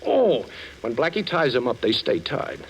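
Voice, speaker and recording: A suave American ex-thief turned sleuth in 1940s radio style. He is breezy and self-assured, with lively swings of pitch. The old recording carries faint noise. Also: normal speed, gravelly, poor audio. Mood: cocky, commanding. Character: suave, cocky ex-thief turned amateur detective.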